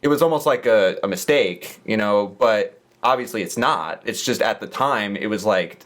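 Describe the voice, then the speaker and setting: A man's voice: fairly low pitch, expressive, moderate pace, a bit bright. A man talking casually as a podcast guest, easygoing and often with a light laugh in his words.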